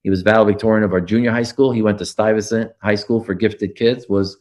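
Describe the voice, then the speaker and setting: Older New man, low voice. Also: steady tone, fast talker, darkish tone, dull audio. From United States. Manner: reflective storytelling with frequent fillers and restarts. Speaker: interviewee. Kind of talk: oral history interview.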